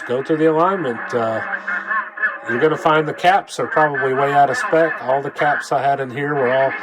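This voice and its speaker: A man with a cold talks in a plain-spoken, rambling, folksy way through a casual hands-on demonstration. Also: on the high side. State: coming down with a cold. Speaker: vlogger demonstrating a repaired radio. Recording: clear background noise.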